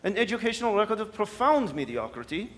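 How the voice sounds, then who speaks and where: A man's voice: high-pitched, big pitch swings, fast, fairly bright tone. A man playing a suave, scheming French villain with a French accent, courtly, wordy and sardonic, in a radio drama. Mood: gloating. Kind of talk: staged radio drama performance. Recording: live hall, long reverb, noisy.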